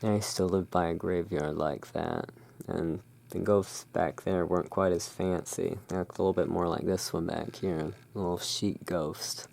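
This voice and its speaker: An ASMR host in a gothic shopkeeper roleplay, musing in an unhurried, gently whimsical way while commenting on cards. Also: deep voice, fairly even intonation, vocal fry. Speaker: host playing a gothic curiosity shop owner.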